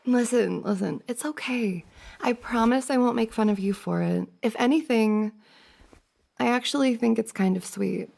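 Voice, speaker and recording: A woman's voice: fairly high pitch, moderate pace, vocal fry. A woman playing a playful, teasing young woman, a best friend's older sister, in a giggly, casual ASMR roleplay. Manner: giggly, teasing banter with frequent soft laughs.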